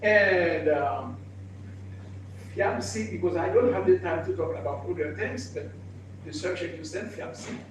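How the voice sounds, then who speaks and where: An older man from Haiti, introducing himself in a halting speech with many restarts. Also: on the high side. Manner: halting, repeats and restarts phrases. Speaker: real estate association president. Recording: echoey room, mic far off, background noise.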